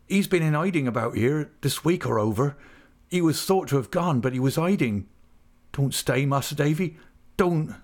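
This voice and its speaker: Male narrator as young working-class fisherman, higher pitch, faltering, deferential, anguished, storybook drama. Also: expressive, normal speed, lightly acted. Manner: pleading, faltering. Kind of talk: dramatised audiobook character.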